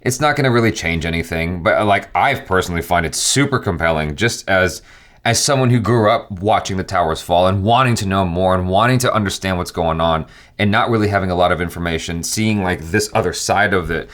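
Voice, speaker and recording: A man's voice: low-pitched, fairly quick, fairly bright tone. A man, reacting to film trailers in casual, emphatic, rambling opinionated chat.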